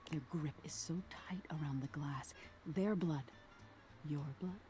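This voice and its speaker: A woman voicing an eerie nightmare spirit in a video game, telling a soft, ominous tale in a hushed, whispery voice. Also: low-pitched, soft background music. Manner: slow, soothing, ominous storytelling. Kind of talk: game character narration.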